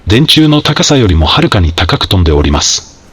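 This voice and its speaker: A man plays a formal television news anchor in an audio drama. His voice sits fairly low with lively, varied intonation, reading and interviewing in a polite scripted broadcast style. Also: fairly quick, slightly rough, heavily acted. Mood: composed, formal.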